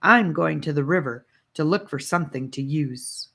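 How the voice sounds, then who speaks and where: A woman voicing a serious, self-reliant boy who plans ahead, firm and measured, in an audiobook. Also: sits low, gravelly, a bit bright, exaggerated voice. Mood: curt. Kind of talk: audiobook character voice.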